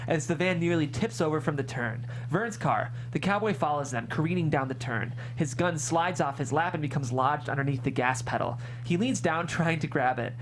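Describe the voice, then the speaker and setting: A man's voice: a little high, normal speed, hardly any pauses, slight background noise. A man reading stage directions as scripted narration at a screenplay table read.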